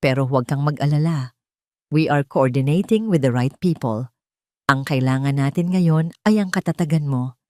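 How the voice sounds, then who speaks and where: Woman acting a grave, low-voiced male lawyer. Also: normal speed, darkish tone, dry recording, studio quality, lightly acted. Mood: serious, reassuring. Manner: serious, switches between Tagalog and English. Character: grave, steady male lawyer, protective and serious.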